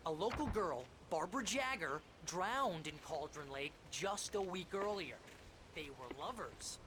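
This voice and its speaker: A chatty, brash sidekick in a game. He is excitable, with clear ambient noise around his voice. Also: sits high, measured pace. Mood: excited.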